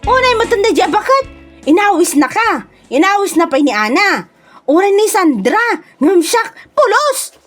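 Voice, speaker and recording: A woman's voice: very high-pitched, big pitch swings, normal speed, a bit bright, soft background music, exaggerated voice. A woman playing a nosy, envious female neighbour in a comedy audio drama, gossipy and exclamatory, with an Ilocano accent. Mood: aggrieved.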